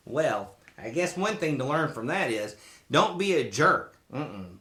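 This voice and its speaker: A children's show host reading a storybook aloud in a playful, theatrical, expressive way. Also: mid-range voice, slow pace.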